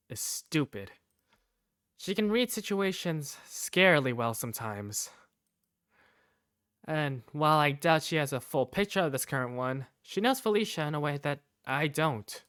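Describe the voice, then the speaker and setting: A woman's voice: deep voice, measured pace, clear, resonant tone, no room sound, clean studio sound. A woman voicing an earnest young male student who narrates his daily life in a fan-dubbed visual novel. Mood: reflective. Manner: expressive first-person storytelling. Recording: background music under the voice.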